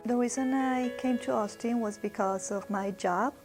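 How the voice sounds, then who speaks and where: A woman's voice: a little high, normal speed. A woman from Colombia who teaches Spanish, chatting with filler words. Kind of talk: personal interview testimony. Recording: music bed under the voice.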